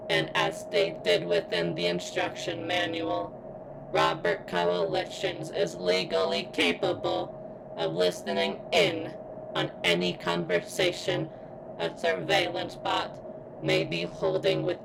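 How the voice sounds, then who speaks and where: Woman acting as a guarded robot girl, very low pitch, heavy vocal fry, stilted formal diction, strained as if hurting, ASMR roleplay, noisy background. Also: full character voice. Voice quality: strained, as if in constant pain. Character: robot girl, surveillance bot, guarded, pained, yearning for sentience.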